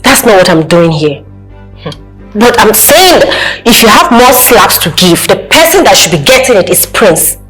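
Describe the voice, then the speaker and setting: A woman's voice: mid-range voice, very animated, moderate pace, fairly bright tone, soft background music. A woman voicing an outspoken young wife who speaks firmly and stands her ground. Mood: defiant. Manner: firm and outspoken.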